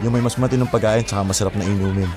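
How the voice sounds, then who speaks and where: An actor playing a confident, smooth-talking young bachelor in a Taglish audio drama, playful and persuasive. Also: male voice, on the low side, steady tone, very fast, close to an everyday voice. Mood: friendly, courting. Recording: loud music and crowd bed behind. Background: music, crowd chatter.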